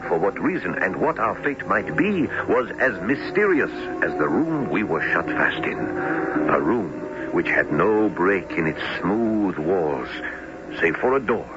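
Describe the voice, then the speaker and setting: A man's voice: low voice, big pitch swings, a little slow, over music. A man narrating a radio drama in a formal, articulate, dramatic storytelling manner. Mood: grave. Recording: noisy, muffled lo-fi radio recording.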